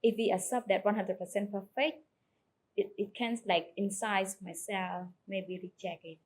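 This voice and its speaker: Soft-spoken hesitant woman. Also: medium pitch, steady tone, moderate pace, slightly rough. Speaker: volunteer client in a demonstration.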